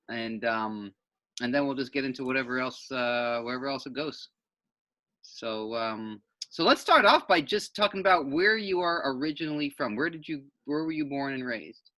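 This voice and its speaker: Bright-voiced man hosting an interview. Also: mid-range voice, normal speed, dry recording. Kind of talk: casual interview.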